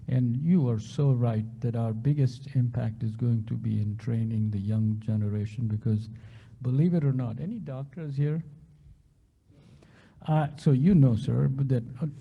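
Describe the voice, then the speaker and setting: A man's voice: mid-range voice, fairly even intonation, moderate pace, creaky, breathy edge, narrow, tinny audio. A man speaking as a physician researcher on a panel, explaining earnestly and often restarting his sentences. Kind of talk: panel discussion answer.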